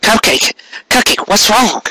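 A man's voice: high-pitched, sing-song, measured pace, bright, forceful tone, slightly rough, no echo, voice pushed up, full character voice, rough recording. A man voicing an earnest, anxious male cartoon pony husband, hesitant and eager to explain, in a story reading. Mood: worried.

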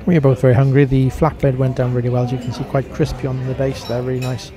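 A man's voice: mid-range voice, little pitch movement, quick pace, crowd noise. A man giving a casual voice-over review as a vlogger.

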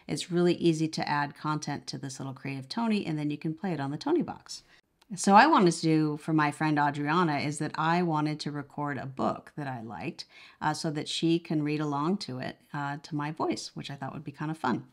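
A woman with a notably low-pitched voice talks through a product demonstration. She is casual and conversational, explaining each step as she goes. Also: brisk. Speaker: product reviewer.

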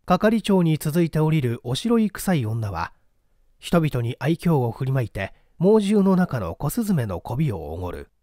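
A man's voice: a little high, sing-song, normal speed, warm tone, dry recording, clean studio sound. A man reading a literary story as a dramatic scripted narration. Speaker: audiobook narrator, stage performer.

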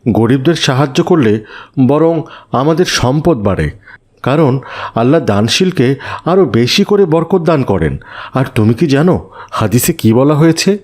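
A man plays a gentle, devout cartoon father. His mid-pitched voice moves widely up and down as he earnestly moralizes and admonishes with measured care. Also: expressive. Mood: earnest teaching. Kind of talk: cartoon moral-story dialogue.

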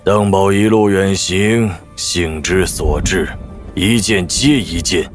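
A man's voice: sits low, slow pace, faint music behind. An actor playing a laconic middle-aged master swordsman in an audio drama, musing and wry. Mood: solemn reflection.

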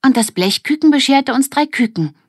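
A woman voicing a lively, dreamy young girl in a children's audiobook, speaking with wonder and exclamation. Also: high-pitched, normal speed, husky, dry recording, clean studio sound, voice pushed up. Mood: earnest, wondering.

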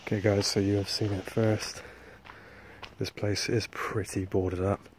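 A man talks into a handheld camera outdoors at night, his low voice staying flat and even. He speaks in a hushed, halting way, full of ums and uhs. Faint outdoor noise sits under the recording. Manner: hushed, hesitant, many fillers. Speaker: urban explorer vlogger. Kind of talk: casual vlog narration. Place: outdoors at night on abandoned mill grounds.

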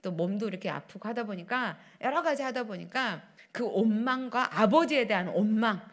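A middle-aged woman pastor preaching a sermon at a church pulpit, swinging between loud declarations and hushed asides. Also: mid-range voice, animated, normal speed. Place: church sanctuary, standing at pulpit. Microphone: pulpit microphones.

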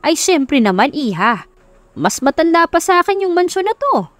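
A woman's voice: sits high, sing-song, moderate pace, a bit bright, no room sound, pitched above the performer's own voice. A woman voicing a friendly, assured older male tricycle driver in an audiobook story. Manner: avuncular, assured. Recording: music under the voice.

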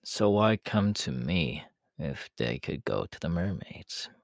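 A man playing a proud, menacing, world-weary demon king in an ASMR roleplay, talking in a deliberate, haughty way that often sinks into breathy whispers. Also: low-pitched, sing-song, no echo, clean studio sound, exaggerated voice. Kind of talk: ASMR audio roleplay acting.

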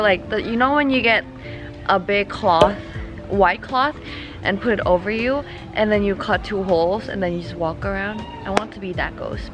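Young woman, 20s, from Thailand, English; casual, animated vlog chat outdoors on a tripod camera; music bed behind. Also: mid-range voice. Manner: chatty, expressive, talks with her hands. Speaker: singer vlogging her trip. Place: outdoor park picnic shelter. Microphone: camera on a small tripod at arm's length.

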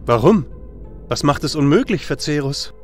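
A nonchalant, cocky male hero as a man acts him in a fantasy radio play. His voice sits a bit high and he talks bluntly and casually, with music playing underneath. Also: lively intonation, moderate pace, close to an everyday voice. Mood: inquisitive. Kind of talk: fantasy audio drama acting.

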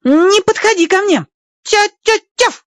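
Radio-play young fox: squeaky-high, proud, distrustful, yappy delivery. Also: lively intonation, slow, dry recording, studio quality, heavily acted. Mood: hostile, defiant. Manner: punctuates speech with sharp yelps. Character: proud, suspicious young male fox, yapping and defiant.

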